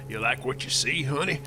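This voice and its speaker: A man's voice: medium pitch, natural voice. The smarmy captain who pulls his truck over in a homemade apocalypse radio play, acted by a man, drawling threats through plainly audible background noise. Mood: leering, sleazy. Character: leering, bullying male militia captain, crude and menacing. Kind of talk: audio drama script reading. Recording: noisy home recording.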